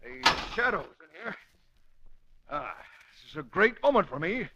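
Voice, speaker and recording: An actor playing a blustery, self-satisfied, gentlemanly police commissioner in an old-time radio crime drama, speaking formally and emphatically. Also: male voice, a little high, very animated, measured pace, highs cut off, heavy background noise.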